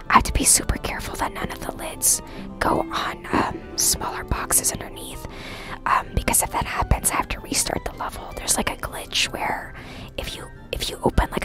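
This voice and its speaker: A woman whispering breathily and chattily to viewers on a casual ASMR gaming live stream. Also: very high-pitched. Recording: music behind the whispering. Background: clear background music.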